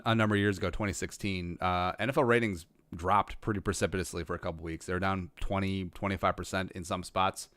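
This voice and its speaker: Low-voiced man hosting a casual livestream. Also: a bit bright, dry recording. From rural Minnesota. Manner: rambling, restarts often, dry self-aware humor. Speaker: podcast host answering live chat.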